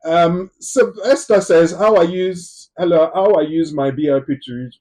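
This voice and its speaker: A man's voice: fairly high pitch, measured pace, darkish tone, rough recording. A man hosting a live phone-in talk show, chatty, reading out viewer questions.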